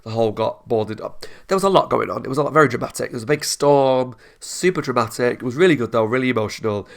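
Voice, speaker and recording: A man's voice: mid-range voice, quick pace, gravelly. A man hosting a TV reaction channel, congested with a cold, chatting in a rambling, casual way and laughing often.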